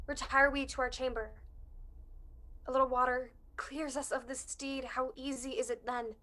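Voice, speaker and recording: A woman's voice: sits high, little pitch movement, normal speed, sharp tone. A young woman playing a steely, scornful noblewoman who commands her partner in murder, in emphatic amateur Shakespearean verse. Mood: brisk, controlled. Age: young adult. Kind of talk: amateur student Shakespeare performance.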